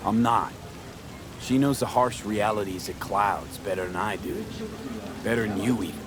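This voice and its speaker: An actor playing a hardened mercenary, calm, dry and guarded, in understated video game dialogue. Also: male voice, fairly low pitch, moderate pace, a crowd in the background, close to an everyday voice. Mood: guarded, defensive. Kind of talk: video game cutscene acting, naturalistic dialogue.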